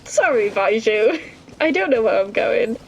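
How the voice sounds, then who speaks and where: A woman commenting casually on a game as she plays it. Her voice sits a little high, and she is playful and self-deprecating, talking to the characters. There is faint noise in the background. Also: expressive, measured pace, vocal fry, highs cut off. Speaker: gaming video creator.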